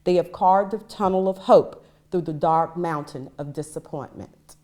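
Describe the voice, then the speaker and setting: Female reader, deep register, public reading of a historic written text. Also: moderate pace, mellow.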